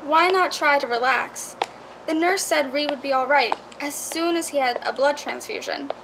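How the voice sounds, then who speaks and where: A woman playing a calm, practical real estate agent in a radio drama, matter-of-fact and reassuring. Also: high voice, moderate pace, vocal fry, noisy background.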